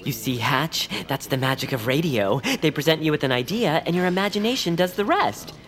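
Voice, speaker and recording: A woman's voice: low-pitched, expressive, a little fast, soft background music. A woman voicing an eager, fussy, optimistic little creature in audio fiction, earnest and prim. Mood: confident, reassuring. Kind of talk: audio fiction acting for children.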